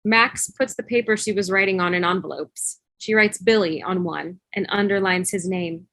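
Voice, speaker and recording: Bright-voiced woman reading stage directions. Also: fairly low pitch, fairly even intonation, normal speed, no room sound, rough recording. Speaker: stage-direction reader in a table read. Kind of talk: scripted narration. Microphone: video call. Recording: video call.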